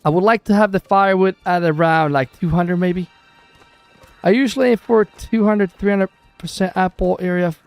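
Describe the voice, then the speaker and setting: A young Swedish-accented man chats his way through a live gameplay stream, thanking viewers and answering chat as he plays. His voice sits a little high for a man, and soft music plays under him. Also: moderate pace. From southern Sweden. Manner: chatty, thanks viewers and reads chat aloud. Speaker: gaming livestreamer.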